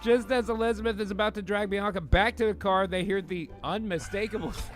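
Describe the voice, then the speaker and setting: A man's voice: high-pitched, brisk, faint music behind. A man narrating stage directions for a live comedic radio-drama table read in a loose, amused way.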